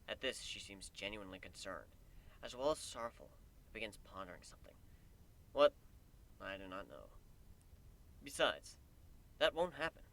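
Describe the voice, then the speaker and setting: A man narrates a dramatic story as an amateur reader. His voice is fairly low, with a bright, forceful edge. The delivery is scripted and theatrical. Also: lively intonation, brisk, creaky, long pauses, poor audio.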